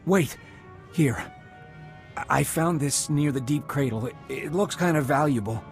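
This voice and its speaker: A man's voice: fairly high pitch, a little slow, soft background music. A man playing an anxious, lonely stranded survivor in a video game, hesitant and earnest in his speech. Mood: grateful.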